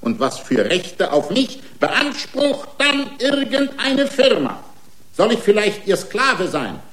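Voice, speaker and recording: An aggrieved cyborg racing driver arguing his own case in a radio drama. His voice is slightly high for a man and rings in a roomy space. He argues in pointed, rhetorical challenges. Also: big pitch swings, slow, fairly bright tone, heavily acted. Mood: defiant. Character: indignant racing driver, part man part machine, defending himself. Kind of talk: German radio play acting. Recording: roomy studio courtroom sound.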